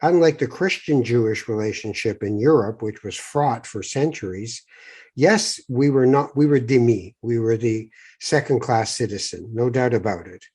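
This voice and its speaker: A middle-aged man from Morocco hosting an interview podcast, telling stories in a lively way and acting out the voices of people he quotes. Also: mid-range voice, normal speed, husky. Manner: animated storyteller, acts out quoted voices, frequent restarts. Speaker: podcast host. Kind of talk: conversational interview.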